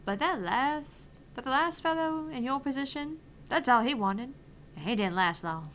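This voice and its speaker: Woman voicing animated, bright older arcade boss lady. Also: sits high, normal speed, a little hiss or hum. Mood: earnest, probing. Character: eccentric older female arcade owner interviewing applicants, deadpan, earnest. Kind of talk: reading visual novel dialogue aloud.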